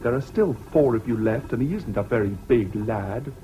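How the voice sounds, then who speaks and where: An actor playing a stubborn, independent senior English schoolboy in a radio drama. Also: male voice, medium pitch, animated, moderate pace, lightly acted. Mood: quietly defiant. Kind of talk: British radio drama acting. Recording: dull, hissy old radio recording.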